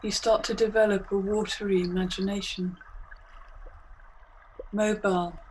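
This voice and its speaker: A woman guiding a meditation in short, hypnotic phrases with long pauses, speaking as a narrator to a listener. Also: medium pitch, level tone, poor audio. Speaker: meditation guide. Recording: clearly audible background noise, ambient soundscape. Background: ambient soundscape.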